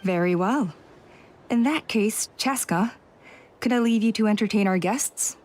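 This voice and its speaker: A woman playing a dutiful female clan elder in a video game, speaking in a measured, formal manner. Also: medium pitch, darkish tone, slight background noise, lightly acted. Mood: earnest.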